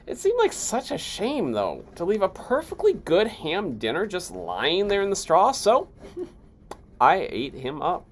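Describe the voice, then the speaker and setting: A person voicing a sly, self-excusing storybook wolf with a folksy, mock-innocent, theatrical way of telling. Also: medium pitch.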